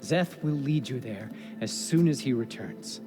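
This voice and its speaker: An actor playing a suspicious, officious guard in a video game, stern and sneering toward outsiders. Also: male voice, medium pitch, expressive, a little slow, over music, lightly acted. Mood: stern, haughty.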